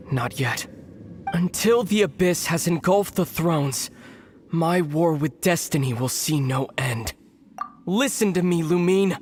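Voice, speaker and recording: A man voicing a solemn, resolute young prince in a video game, speaking in a measured, earnest, wistful way. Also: a little high, a little hiss or hum, natural voice. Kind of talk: dramatic video game acting. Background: music.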